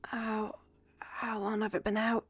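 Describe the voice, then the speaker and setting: A woman's voice: medium pitch, level tone, unhurried, breathy edge, no room sound. A woman playing a troubled, self-reproaching young writer in an intimate audio drama, speaking in a hesitant, weary way. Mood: drowsy, subdued.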